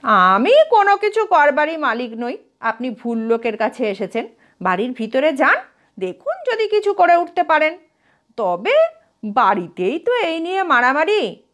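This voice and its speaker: A woman's voice: sits high, big pitch swings, measured pace, fairly bright tone, exaggerated voice. A woman voicing a wry, bookish young man who teases drily during an argument in a story reading. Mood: cool, teasing.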